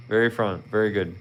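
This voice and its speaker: A man's voice: on the low side, a little hiss or hum. A man in his thirties with a Philadelphia accent, chatting casually with viewers on his livestream in a rambling, friendly way full of "you know". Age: 30s. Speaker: livestream host.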